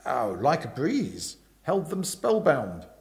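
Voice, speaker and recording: A man's voice: fairly high pitch, huge pitch swings, slow pace, creaky, full character voice. A man voicing a bashful, hesitant young upper-class chum who stammers and talks round the point. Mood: breezy. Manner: stammering, roundabout, hemming and hawing.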